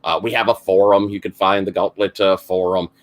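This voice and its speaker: Southern game master: middle-aged man, gravelly, rambling and full of fillers, talking over an online call. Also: low voice, fairly even intonation, fairly quick. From South Carolina, US. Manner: rambling, lots of fillers and restarts. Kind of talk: casual introduction of a game.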